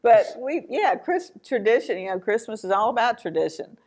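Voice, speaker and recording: A woman's voice: fairly high pitch, very animated, moderate pace, darkish tone. A woman from the Blue Ridge Mountains co-hosting a show in casual, conversational talk. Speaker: TV show co-host. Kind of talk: casual chat.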